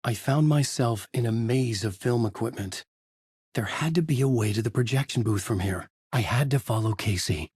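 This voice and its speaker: An actor playing a brooding writer who narrates his dark thriller in a grave, deliberate, noir style, as in a video game. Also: medium pitch, slightly rough, no echo, clean studio sound. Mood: uneasy, determined. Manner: grave, deliberate, moody storytelling.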